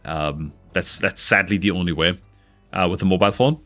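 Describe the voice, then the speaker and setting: A young gaming streamer from northeast England, his accent somewhat mixed, talks in a fairly low voice and rambles casually with plenty of fillers. Faint music plays under his chat. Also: normal speed, a bit bright. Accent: northeast English, near Newcastle, mixed.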